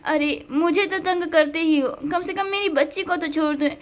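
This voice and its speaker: A woman's voice: very high voice, normal speed, voice pushed up. A woman voicing a fed-up scolding wife. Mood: exasperated. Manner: reproachful, scolding.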